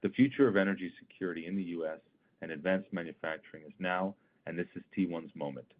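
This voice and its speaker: A man's voice: low-pitched, quick pace. A man delivering scripted earnings call remarks as a chief executive, in a formal, emphatic corporate style. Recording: thin phone-like conference call line.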